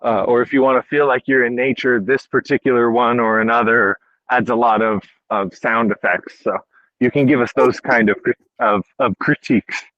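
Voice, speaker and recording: A man's voice: mid-range voice, fairly even intonation, normal speed, a bit bright, no echo, muffled recording. A man hosting a podcast in a casual, conversational interview style. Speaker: podcast host.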